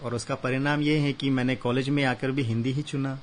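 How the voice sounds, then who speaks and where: A man's voice: medium pitch, a little fast, a little hiss or hum. Man from Arunachal in a radio studio. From Arunachal Pradesh. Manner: earnest, steady storytelling with fillers. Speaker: Hindi professor and poet. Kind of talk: radio interview guest.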